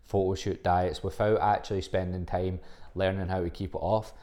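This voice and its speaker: A soft-spoken man with a low, deep voice talks through his podcast on his own. His tone is mellow and relaxed. He is blunt and rambling, full of fillers and casual swearing. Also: moderate pace. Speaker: podcast host and fitness coach. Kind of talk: casual solo podcast monologue.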